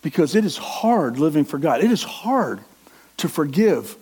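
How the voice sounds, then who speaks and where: A man's voice: on the high side, big pitch swings, unhurried, darkish tone, slightly rough. A middle-aged man preaching a sermon with passion, acting out the inner voice of a struggling believer. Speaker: pastor.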